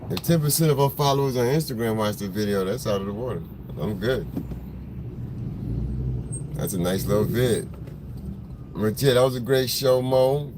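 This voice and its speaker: Male livestream host, smoker's voice, relaxed and slangy, talking into a phone in the car. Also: mid-range voice, normal speed, pauses often. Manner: laid-back, rambling, slangy, greets viewers by name. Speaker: photographer hosting a livestream. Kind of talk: casual livestream chat with viewers. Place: in a car in traffic. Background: faint vehicle noise.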